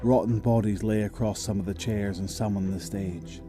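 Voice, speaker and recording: A man acting as the narrator of a zombie horror audio drama, telling it in a measured, descriptive way. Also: on the low side, steady tone, lightly acted. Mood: grim, suspenseful. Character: male narrator of a grim zombie horror tale. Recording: loud music under the voice. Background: music.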